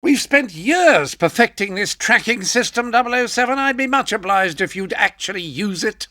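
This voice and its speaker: An actor playing an exasperated older British gadget quartermaster in a video game, formal, precise and scolding. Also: male voice, high voice, lively intonation, moderate pace, sharp tone, no room sound, studio quality. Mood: fussy, admonishing. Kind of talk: video game mission briefing.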